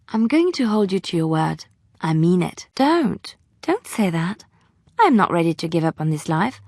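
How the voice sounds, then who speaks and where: An actress playing a gentle, wistful young bard in a video game, speaking in a measured, tender way. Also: female voice, lowish voice, dry recording, clean studio sound. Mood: tender, earnest. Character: gentle romantic young female bard adventurer, wistful but resilient. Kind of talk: video game character dialogue.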